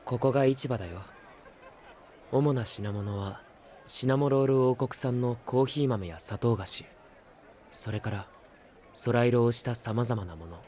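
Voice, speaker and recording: An actor playing a calm, polite young guide in an anime voice drama, explaining things in a measured, earnest way. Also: male voice, medium pitch, a faint crowd, a little hiss or hum, lightly acted. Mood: calm, explanatory. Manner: measured, explanatory, like reading a manual. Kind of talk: Japanese anime voice drama acting.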